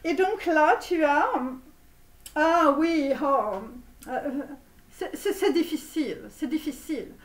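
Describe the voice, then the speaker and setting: A woman playing a comic online tarot reader with a put-on Romanian accent, insistent and repetitive, halting theatrically as she reassures her viewers. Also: high-pitched. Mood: musing, preachy. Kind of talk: parody tarot reading spoken to viewers. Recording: roomy echo, distant microphone.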